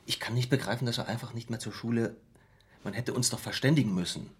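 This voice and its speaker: Rigid middle-aged father, rough husky voice, indignant and halting, naturalistic radio drama. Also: medium pitch. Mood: indignant, strained.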